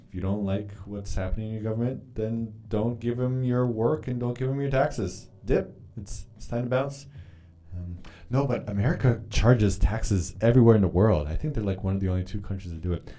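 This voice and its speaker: Low, mellow-voiced man chatting loosely. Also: fairly even intonation, moderate pace. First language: Polish. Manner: rambling, swears freely, loose and candid. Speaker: livestreamer reading chat. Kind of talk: casual live-stream chat while journaling.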